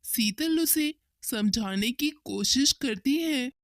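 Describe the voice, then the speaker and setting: Slow, high-pitched woman storyteller. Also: lively intonation, dry recording, studio quality. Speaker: narrator. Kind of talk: scripted cartoon story narration.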